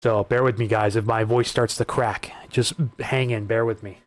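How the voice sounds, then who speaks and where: Slightly hoarse man hosting live weather coverage. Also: lowish voice, little pitch movement, normal speed, no room sound. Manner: conversational, repeats words and restarts while reading data. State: voice getting hoarse. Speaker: weather livestream host. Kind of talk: live weather coverage, reading maps and viewer questions.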